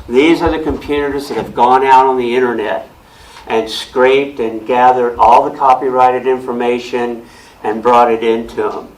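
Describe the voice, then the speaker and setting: A man speaks loudly and plainly to a room of listeners through a mic. His voice sits at an ordinary middle pitch. He restarts and repeats words as he works through his explanation. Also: poor audio. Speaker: guest speaker on technology. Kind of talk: explaining to a club audience. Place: meeting room with audience. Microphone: handheld or stand mic with speaker system.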